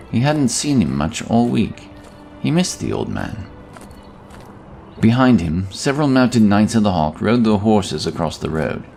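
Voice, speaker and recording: A man's voice: lowish voice, a little mellow, a little hiss or hum. A man reading scripted fantasy narration as an audiobook narrator.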